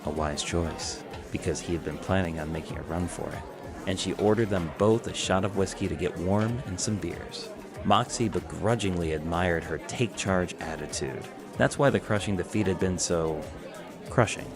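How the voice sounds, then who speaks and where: A man's voice: deep voice, normal speed, music in the background. A man reading scripted fan fiction as the narrator, telling the story in prose.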